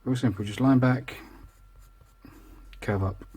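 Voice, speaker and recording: A man's voice: medium pitch, steady tone, takes it slowly, dark tone, slightly rough. A man, chatty and self-deprecating, talking through each step of a drawing tutorial. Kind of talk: casual real-time drawing tutorial. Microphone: voice over the drawing, not seen speaking.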